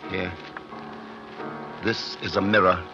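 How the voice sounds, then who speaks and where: An actor playing an obsessed, secretive scientist in an intense, theatrical classic radio drama style. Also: male voice, deep voice, steady tone, slow, over music. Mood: awed, tense. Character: obsessed male scientist, feverishly excited, secretive husband. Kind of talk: 1940s-50s radio drama acting. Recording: muffled, noisy vintage radio recording.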